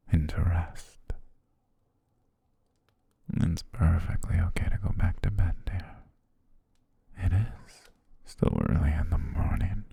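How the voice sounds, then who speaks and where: Man doing a low, gravelly whispering butler. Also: no echo, heavily acted. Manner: soft, coaxing, doting, lulling. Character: devoted, quietly possessive male butler. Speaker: ASMR voice actor. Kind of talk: ASMR roleplay. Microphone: close mic.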